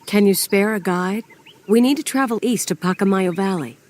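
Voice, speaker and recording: An actress with an American accent playing a determined, dry-witted adventurer heroine in a video game, plain-spoken and resolute. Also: female voice, mid-range voice, moderate pace, darkish tone, natural voice. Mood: urgent, resolute. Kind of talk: dramatic video game voice acting and narration.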